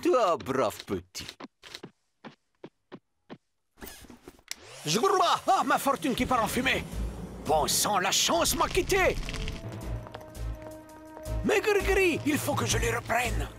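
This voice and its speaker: Cartoon role: pompous, grumpy, luckless charm peddler, huge swings in pitch, music underneath. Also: male voice, wildly swooping pitch, slow, pauses often, full character voice. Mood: dismayed. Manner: grandiloquent, muttering and exclaiming. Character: theatrical, scheming male charm peddler, grumpy and unlucky. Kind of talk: animated cartoon acting.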